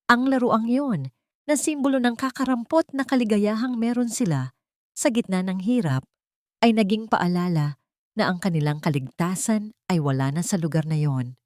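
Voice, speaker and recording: A woman narrating a scripted dramatic story in a measured, theatrical storytelling manner. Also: medium pitch, a little mellow, no room sound, clean studio sound.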